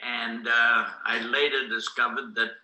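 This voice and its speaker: An elderly man from Boston, a native Greek speaker, tells his life history at a very unhurried pace. His voice is strikingly sharp and forceful, with formal, halting phrasing. The room around him rings with echo. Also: mid-range voice, flat delivery. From Boston, United States. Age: 90s. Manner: deliberate, halting, formal storytelling with long pauses. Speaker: interviewee, war veteran. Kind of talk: recounting life history in an interview. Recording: echoey room.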